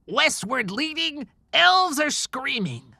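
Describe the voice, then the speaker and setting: A reckless, drunken Christmas elf for an audiobook, pitched high and swinging wildly. The voice is sharp and creaky, with theatrical outbursts and slow, muttered delivery. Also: big pitch swings, dry recording, studio quality, heavily acted. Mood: drunken singing. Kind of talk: dramatized audiobook character acting.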